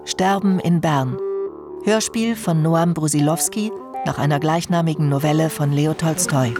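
An actress as a composed radio announcer, delivering a scripted introduction to a play. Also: female voice, low voice, normal speed, music in the background, lightly acted.

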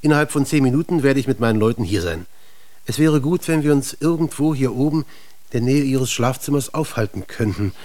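A male actor plays a police inspector in a radio crime play. He is shrewd and matter-of-fact, asking probing questions and giving instructions with dry irony. His voice sits at an ordinary male pitch. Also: lively intonation, fairly quick, darkish tone, lightly acted. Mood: businesslike, instructing. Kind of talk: German radio crime drama acting.

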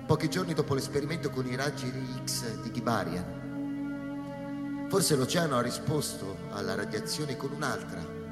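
An actor playing a cynical, weary space-station scientist in a radio drama, speaking sardonically and haltingly with dry pauses. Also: male voice, medium pitch. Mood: brooding. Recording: reverberant hall with music behind.

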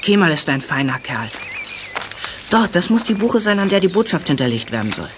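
A man's voice: high-pitched, big pitch swings, a little fast, noisy background. An actor playing a keen, cheeky boy detective sidekick with a colloquial manner in a German youth radio play. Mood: eager.

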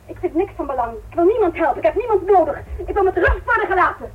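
A woman's voice: extremely high pitch, expressive, fast talker. A woman playing a middle-aged mother in a radio play, guarded and dramatic, who wants to be left in peace. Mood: defensive. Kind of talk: Dutch radio play acting. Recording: tinny narrow-band old radio recording, hiss.

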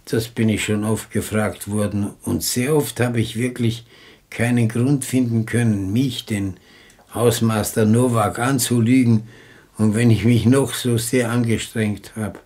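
An actor playing a skeptical, stubborn Viennese caretaker in a German radio play, deliberate and ruminating, with a Viennese accent. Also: male voice, on the low side, steady tone, darkish tone, natural voice.

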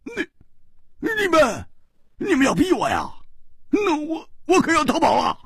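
A man's voice: wildly swooping pitch, slow pace, slightly rough, no room sound, clean studio sound, heavily acted. A man voicing a flustered, panicky young swordsman who stammers in comic distress. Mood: frantic. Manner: stammering, squealing high.

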